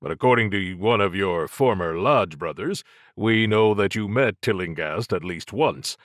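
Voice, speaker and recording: Man as a low-voiced, plain-spoken American investigator. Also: lively intonation, normal speed, dry recording, studio quality, close to an everyday voice. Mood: probing. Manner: matter-of-fact questioning. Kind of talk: audiobook character dialogue.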